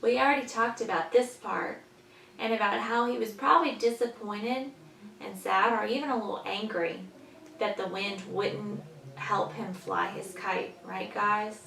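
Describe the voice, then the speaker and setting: A woman teaching young children, patiently explaining in simple words and pausing after questions, as in a story lesson. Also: medium pitch, creaky, a crowd in the background. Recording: echoey room, distant mic, faint noise.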